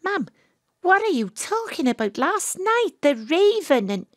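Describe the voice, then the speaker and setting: A woman's voice: extremely high pitch, very animated, a little slow, exaggerated voice. An actress playing an earnest, emotional teenage girl in an audio drama. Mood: bewildered. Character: earnest teenage girl narrating her ghostly adventure, emotional. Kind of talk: audio drama monologue and dialogue.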